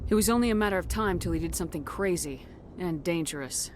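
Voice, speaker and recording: Low-voiced stern woman commander, acted by a woman. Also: quick pace, a little mellow, slight background noise, natural voice. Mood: curt, businesslike. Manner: curt, commanding, threatening. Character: stern, ruthless female military commander, intimidating and sardonic. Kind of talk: video game voice acting.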